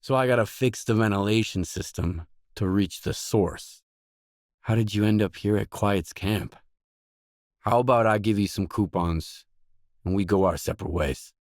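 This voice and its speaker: Guy acting blunt wary merc loner from a video game, lowish voice, terse and matter of fact, super dry recording. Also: normal speed, no room sound, close to an everyday voice. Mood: guarded, businesslike. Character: gruff, wary male stalker, blunt mercenary loner. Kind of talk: video game dialogue lines.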